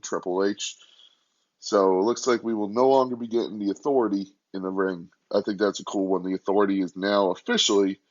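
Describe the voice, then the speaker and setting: Hoarse young man podcasting quietly. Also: on the low side, dull audio. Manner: talking quietly, chatty and rambling. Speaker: podcast host. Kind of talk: casual solo podcast. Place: hotel room.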